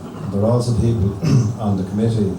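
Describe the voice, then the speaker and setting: A man's voice: lowish voice, fairly even intonation, brisk. An Irish man giving an informal thank-you speech at a function in a hotel hall. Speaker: event speaker. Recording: echoey noisy hall, distant camcorder.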